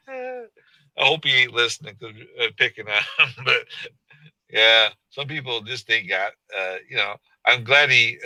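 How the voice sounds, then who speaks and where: Bright-voiced man chatting on a live stream. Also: medium pitch, no echo, poor audio. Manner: rambling, joking, laughs often. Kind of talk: casual live stream chat.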